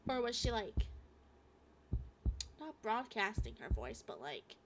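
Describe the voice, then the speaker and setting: A woman reading a visual novel aloud as a gaming streamer, halting and expressive, with casual asides. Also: a little high, slight background noise. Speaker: gaming YouTuber.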